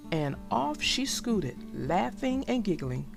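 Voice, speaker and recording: Female children's storyteller: very animated, creaky at times, casual and direct with listeners, faint music behind. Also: medium pitch, slow. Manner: emphatic, directly addresses young listeners. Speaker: storybook narrator and host. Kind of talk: expressive read-aloud and casual commentary for children.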